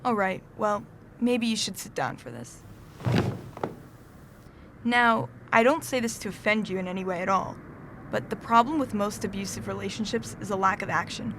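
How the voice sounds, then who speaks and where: Woman acting a measured therapist over noisy background. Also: mid-range voice, animated, lightly acted. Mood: earnest, sober. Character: composed middle-aged female therapist, firm and earnest. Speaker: therapist in an audio drama. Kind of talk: student audio drama acting.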